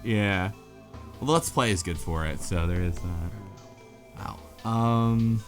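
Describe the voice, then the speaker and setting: A man's voice: lowish voice, slow, soft background music. A man hosting a casual group discussion, thinking aloud with frequent restarts and asides. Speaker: podcast host.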